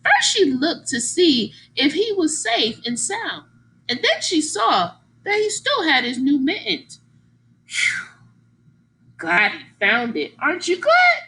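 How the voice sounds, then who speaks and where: Female children's read-aloud teacher: slow, very expressive delivery with big swings in pitch, asks the listeners questions. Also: rough recording. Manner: animated, exaggerated emphasis, engaging listeners with questions.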